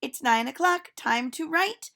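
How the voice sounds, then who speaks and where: A woman's voice: sits high, animated, gravelly, voice pushed up, full character voice. A woman voicing a cheerful, silly schoolteacher from a children's storybook, calling out announcements emphatically. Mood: bright, announcing. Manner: loud, emphatic announcing, drawn-out words. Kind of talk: storybook read-aloud for children.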